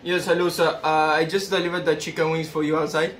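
A man in his twenties making a prank phone call with feigned seriousness, halting and addressing the listener as sir. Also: fairly high pitch, flat delivery, noisy background. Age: 20s. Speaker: prank caller. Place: small plain room indoors.

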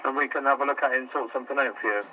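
Quick-talking store clerk on phone. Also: male voice, mid-range voice, fairly even intonation, dull audio, slight background noise. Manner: patient but exasperated, repeats himself. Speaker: store customer service worker. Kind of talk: phone call.

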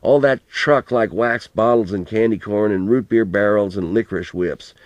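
Male narrator as elderly farmer grandfather, fairly low pitch, folksy, earnest, rambling, plain-spoken, storybook reading, dry close sound. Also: normal speed, no echo, lightly acted. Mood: musing. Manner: colloquial rural storytelling, plain-spoken, mildly profane.

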